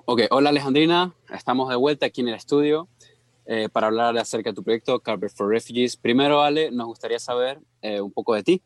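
A man's voice: mid-range voice, quick pace, no echo. Guy hosting a radio interview, dry booth, lots of um and uh. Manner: hesitant, frequent fillers. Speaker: radio host. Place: radio studio.

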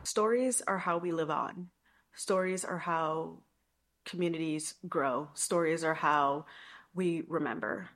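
A woman from North Carolina speaking in a measured, reflective way as an interviewee in a documentary clip. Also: sits low, fairly bright tone.

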